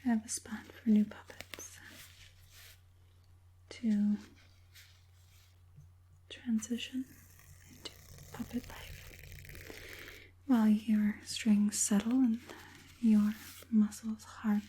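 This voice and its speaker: A woman playing a sinister, coaxing witch puppet master in a whispered ASMR roleplay, speaking softly and deliberately in a breathy whisper. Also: a little high, flat delivery, a little hiss or hum, rough recording. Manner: slow, soothing, deliberate, menacingly sweet.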